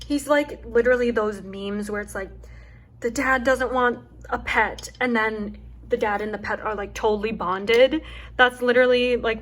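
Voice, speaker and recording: A young woman with a soft, calming voice chatting casually and rambling with lots of fillers as she hosts a craft video at home. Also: on the high side. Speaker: craft channel host. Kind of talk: casual chat while chopping wax. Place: home with her dog nearby.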